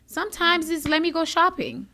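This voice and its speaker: A woman's voice: extremely high pitch, normal speed. A woman from Haiti hosting a podcast, talking candidly in a chatty, bubbly, conversational way. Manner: animated, chatty, self-described bubbly. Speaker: podcast host.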